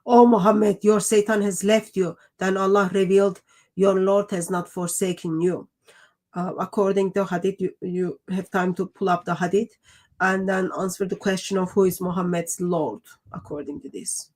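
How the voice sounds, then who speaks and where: A woman moderates a live debate Q&A, reading questions out to the speakers. She is polite and measured. Her voice sits at a middle pitch. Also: little pitch movement, darkish tone.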